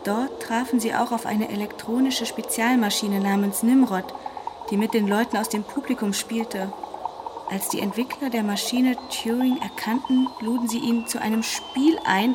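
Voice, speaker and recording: Musing, higher-pitched actress as a woman researcher. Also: steady tone, moderate pace, noisy background, close to an everyday voice. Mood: absorbed, narrating. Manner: musing, tender, talking to herself and pets. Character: absorbed, determined woman researcher doting on her tiny nanite creatures. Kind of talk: radio drama acting.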